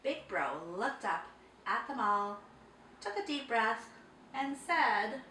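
A woman in her thirties reading a picture book to children in a dramatic, deliberate way. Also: a little high, big pitch swings, pushed and bright, a little hiss or hum. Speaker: children's storyteller. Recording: roomy, distant microphone.

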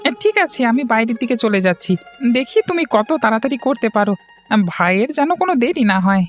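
Woman playing fussy caring older brother, cartoon street seller, fast fretful speech, slightly high pitch. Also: very animated, faint music behind. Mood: impatient. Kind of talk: cartoon moral-story dialogue.

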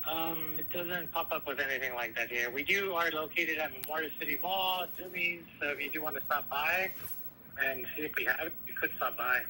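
A man's voice: fairly high pitch, a little fast, sharp tone, rough recording. A man working in a store, taking a customer call in a polite, patient, hesitant way, heard through a phone speaker. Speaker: store employee. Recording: phone speaker picked up, faint noise.

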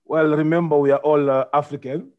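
A middle-aged man from Congo, a guest on a video call, explaining things in a measured way. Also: fairly high pitch, level tone, narrow, tinny audio. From Democratic Republic of Congo. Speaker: guest, property investor. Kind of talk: casual video-call interview. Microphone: video call with earphones.

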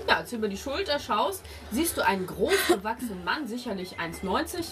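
A woman's voice: on the high side, sing-song, fairly quick, recorded from a distance. A woman narrating a tabletop role-playing game as game master, describing scenes piece by piece as she goes. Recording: music playing behind the voice.

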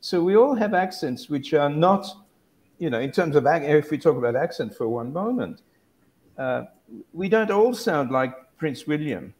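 A man's voice: on the high side, animated, normal speed, a little mellow. An older man musing in casual discussion on a video call, often restarting and correcting himself. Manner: rambling, self-correcting, musing. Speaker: guest academic.

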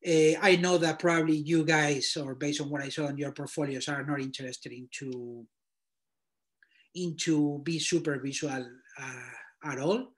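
Man in his thirties, Spanish accent, slightly high voice, rambling and filler-heavy, laptop mic in a small home room. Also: steady tone, measured pace. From Spain. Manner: loose, filler-heavy, thinking aloud. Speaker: guest portfolio reviewer. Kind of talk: casual video call talk. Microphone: laptop webcam video call.

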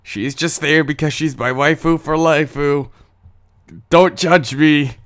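A man's voice: on the high side, fairly even intonation, unhurried, a bit bright, smooth. A man giving a casual, informal channel update as host, with jokey, goofy asides. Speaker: host of an animated fight series channel.

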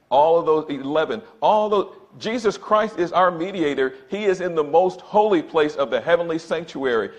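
Older guy in his 60s preaching at the pulpit, keeps saying brothers and sisters, pulpit mic in a church. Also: a little high. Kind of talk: sermon. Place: church sanctuary, indoors. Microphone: pulpit microphone, far camera.

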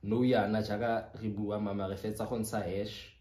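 A man's voice: on the low side, little pitch movement, moderate pace, dark tone. A South African man making a prank phone call as a company agent, speaking in an insistent, repetitive yet respectful way. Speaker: prank caller. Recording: roomy echo with background noise.

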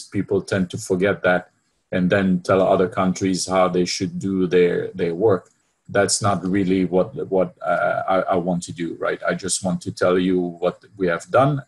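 A man's voice: low voice, breathy edge. A middle-aged man from Belgium with a French accent, giving an online lecture over a video call from home, hesitant with many fillers and a habit of asking "right?". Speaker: university department head presenting. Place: home room with bookshelves. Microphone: laptop webcam video call.

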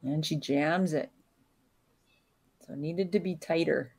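A woman giving calm, analytical live commentary on a curling match. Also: deep voice, husky. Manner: calm, analytical play-by-play with pauses.

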